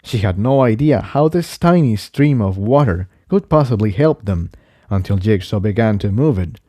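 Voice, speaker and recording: A man's voice: lowish voice, animated, moderate pace, dark tone, no room sound, clean studio sound. A man narrating an adventure story from a script. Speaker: fan fiction reader, narrator.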